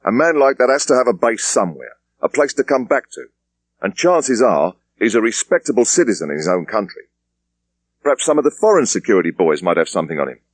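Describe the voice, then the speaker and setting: A man's voice: on the low side, very animated, a little fast, dry recording, voice pushed up. Man acting young deferential British detective inspector. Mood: thoughtful, suggesting.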